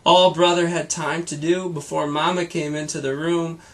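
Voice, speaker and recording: A man's voice: fairly high pitch, level tone, normal speed. A man, a teacher, reading a children's storybook aloud to students with casual little asides.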